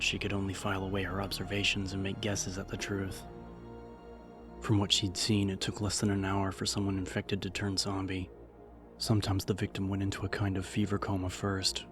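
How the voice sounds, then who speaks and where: A man reading scripted dramatic fiction as an audiobook narrator. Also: deep voice. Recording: music behind the narration. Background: music.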